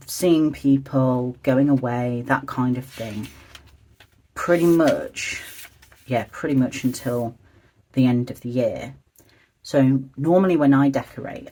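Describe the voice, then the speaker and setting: Craft vlogger, mid-pitched and a little croaky, soft-spoken, chatty and hesitant, for a calm journaling video. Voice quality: slightly croaky, husky. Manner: hesitant, meandering, soft-spoken and low-key. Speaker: stationery and journaling video creator. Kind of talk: quiet, chatty craft vlog, thinking aloud while decorating.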